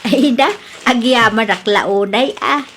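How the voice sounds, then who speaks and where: A woman's voice: on the high side, slow pace, fairly bright tone, heavily acted. A woman acting a forgiving older mother in a radio drama, with an Ilocano accent. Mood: tender, forgiving.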